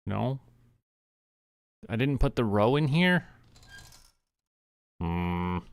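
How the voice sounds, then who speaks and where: A man from Canada giving casual, relaxed commentary as he streams a game, often talking to himself. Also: medium pitch, extremely slow, creaky, smooth, no echo. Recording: music behind the voice. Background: music.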